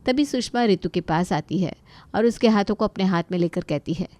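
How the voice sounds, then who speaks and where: A woman's voice: mid-range voice, animated, brisk, darkish tone, no room sound. A woman narrating a scripted family story as its storyteller.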